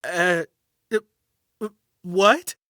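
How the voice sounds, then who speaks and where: A woman's voice: fairly low pitch, very slow, fairly bright tone, smooth, dry recording, studio quality. An actress playing an anxious, superstitious, polite woman client in a video game, earnest and hesitant. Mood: bewildered, hesitant. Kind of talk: video game character acting.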